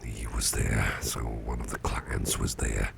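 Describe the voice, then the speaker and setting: An actor playing a sickly, gruff but genial boss in an ASMR roleplay, musing slowly and haltingly in a half-whisper close to the microphone. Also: male voice, little pitch movement, slight background noise, heavily acted. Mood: puzzled, musing. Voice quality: very deep, gravelly, breathy half-whisper. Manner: slow, halting, folksy musing with pauses.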